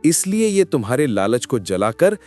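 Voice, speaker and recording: A man playing a wise old traveller who solemnly admonishes in an animated moral story. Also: medium pitch, moderate pace, no echo, soft background music, close to an everyday voice. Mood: warning.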